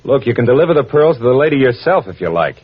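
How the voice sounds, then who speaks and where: An actor playing a world-weary, wry American private detective in old radio noir style, talking in clipped slang. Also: male voice, medium pitch, big pitch swings, fast talker, husky. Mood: brisk, persuasive. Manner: hard-boiled, clipped slang. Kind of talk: 1940s radio noir acting and first-person narration. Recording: dull old radio recording with music.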